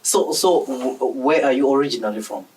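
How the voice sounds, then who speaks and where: A man's voice: mid-range voice, moderate pace, darkish tone, recorded from a distance, a little hiss or hum, poor audio. A man hosting an interview, asking questions in an encouraging way and backing the guest up with frequent "mm-hmm"s. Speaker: interviewer host. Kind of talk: interview conversation.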